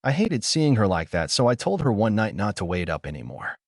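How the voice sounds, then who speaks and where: A man narrating a story in the first person, earnest and reflective. His voice is low, with lively pitch swings. The recording is dry and close to the mic. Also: a little fast, gravelly, no room sound. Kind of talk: first-person fan fiction reading.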